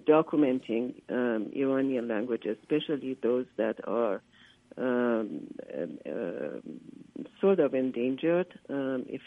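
A man whose first language is Persian, a linguist giving a radio interview by phone, often pausing to search for words. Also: mid-range voice, no room sound. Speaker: linguist and conference organizer, interview guest. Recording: tinny, dry phone line.

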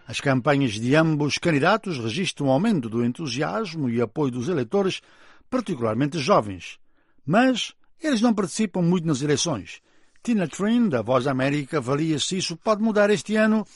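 A man presenting the news in a lively, sing-song way. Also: a little high, normal speed, no echo, studio quality. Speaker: news anchor.

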